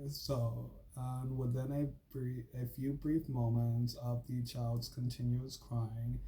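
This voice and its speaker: A man's voice: mid-range voice, mellow, poor audio. A man talking casually to his viewers and then reading a school assignment aloud, full of fillers and stumbles. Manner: filler-heavy, says "like" and "freaking" often, stumbles and restarts while reading. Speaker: channel host.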